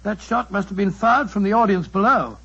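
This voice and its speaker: A man playing a detective's loyal, earnest companion in vintage radio drama, with a husky voice. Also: sits high, a little fast, bright, forceful tone, heavily acted. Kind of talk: 1940s radio acting. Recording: dull, band-limited old radio recording.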